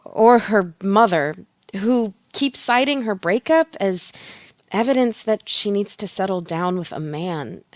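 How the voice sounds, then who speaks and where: A woman playing a knowing tarot reader in an audio play, speaking in a measured, deliberate manner. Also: medium pitch, expressive, no echo, close to an everyday voice. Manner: measured, pronouncing readings slowly.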